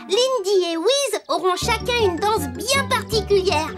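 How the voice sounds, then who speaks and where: Petit pantin garçon très aigu. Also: female voice, very high voice, animated, slow pace, exaggerated voice. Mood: playful, pondering. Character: cheerful little wooden puppet boy, eager and helpful. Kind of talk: children's cartoon dub. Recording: loud children's music behind.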